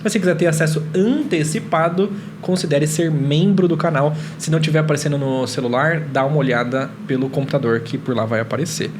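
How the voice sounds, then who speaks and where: Male Brazilian podcast host, fairly high voice, playfully formal in casual chat, slight background noise. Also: brisk, hardly any pauses.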